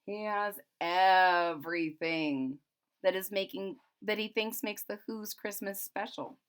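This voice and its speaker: A woman's voice: medium pitch, slow pace, clear, resonant tone. A woman reading a children's story aloud expressively, with simple casual asides explaining it as if to young children. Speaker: storybook reader.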